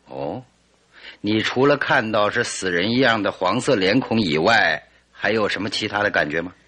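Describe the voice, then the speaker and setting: An actor playing a shrewd, authoritative detective in a radio drama, deliberate and probing. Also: male voice, fairly low pitch, pushed and bright.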